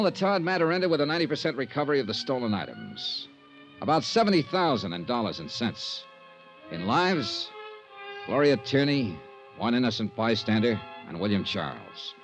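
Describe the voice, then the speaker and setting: An American actor playing a hard-boiled, sardonic insurance investigator in 1950s radio drama, with clipped lines and dramatic pauses. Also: male voice, medium pitch, low-quality recording. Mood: brisk, wry narration. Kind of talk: 1950s radio detective drama acting and narration. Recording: vintage radio recording with music.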